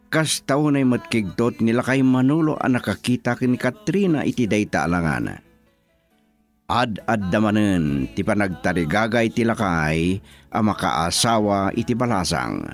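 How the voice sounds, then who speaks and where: A man narrating a radio drama in a scripted, dramatic storytelling style. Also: on the low side.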